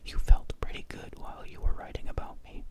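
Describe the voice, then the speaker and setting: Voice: fairly quick, lightly acted. A storyteller whispering the part of a bitter, menacing male ex-policeman who sneers at the man who wrote him. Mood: bitter, sneering.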